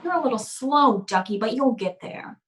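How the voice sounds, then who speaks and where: A woman's voice: on the high side, lively intonation, normal speed, close to an everyday voice. A woman playing a cynical, wry private eye, curt and hardboiled, in an old-time radio noir drama. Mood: cold, hard bargaining.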